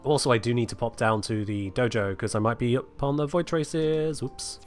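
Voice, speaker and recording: A man's voice: medium pitch, moderate pace, soft background music. Sick man chatting on stream. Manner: chatty, rambling, answers chat. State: sick, coughing. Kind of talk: casual live-stream chat while gaming.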